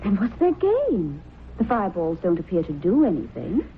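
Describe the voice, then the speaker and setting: Witty wife, very animated, old radio. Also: female voice, fairly low pitch, moderate pace, soft background music. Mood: wry. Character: witty, wry wife of a reporter. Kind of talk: vintage radio drama acting. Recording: noisy, narrow-band old radio recording.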